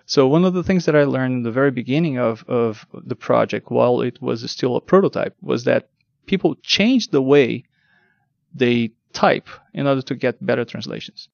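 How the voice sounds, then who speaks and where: A man's voice: mid-range voice, lively intonation, moderate pace, vocal fry, a little mellow, no room sound, lo-fi sound. A man whose first language is Portuguese, explaining technical work as an interview guest, with frequent ums and repeated words. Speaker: guest, translation test lead.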